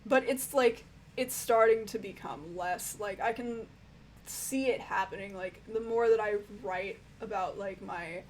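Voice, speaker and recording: Croaky-voiced woman chatting casually. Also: medium pitch, a little slow, a little hiss or hum, low-quality recording. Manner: rambling, filler-heavy, frank and swearing. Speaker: music reviewer answering viewer questions. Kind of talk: casual Q&A chat.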